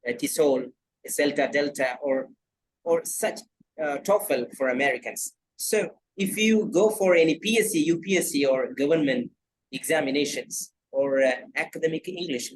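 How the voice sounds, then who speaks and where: A man's voice: a little high, husky, rough recording. A man from Kerala with a Malayali accent, whose first language is Malayalam, talking as an English trainer in an online video class, earnest and insistent, repeating his points. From Kerala, South India. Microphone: video call.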